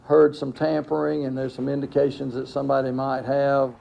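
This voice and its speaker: A man answers interview questions as an official. His voice is gravelly and dark, sitting at a middle pitch. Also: monotone, fairly quick, dull audio.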